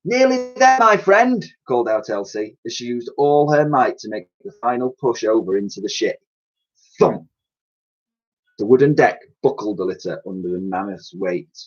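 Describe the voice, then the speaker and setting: A man reading a children's story aloud as a teacher would, with dramatic, expressive delivery. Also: lowish voice, normal speed, husky. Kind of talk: animated storybook narration. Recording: dull, lo-fi sound.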